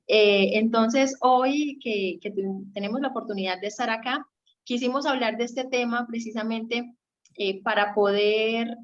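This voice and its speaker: A young Colombian woman giving a conversational teaching talk as a guest, explaining things and checking for agreement as she goes. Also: fairly high pitch. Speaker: guest speaker on a marriage radio segment. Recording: slightly dull, lo-fi sound.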